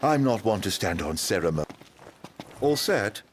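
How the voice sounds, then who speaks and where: An actor playing a theatrical, slyly courteous and enigmatic stranger in a video game, with flowery, teasing delivery. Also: male voice, lowish voice, sing-song, normal speed, husky. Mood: playfully courteous. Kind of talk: video game cutscene acting.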